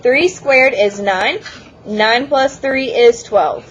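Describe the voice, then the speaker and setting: A woman teaching math to a classroom, brisk and teasing as she walks students through problems. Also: fairly high pitch, dull audio. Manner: brisk, teasing, talks over a noisy class. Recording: noisy classroom chatter. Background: students chattering.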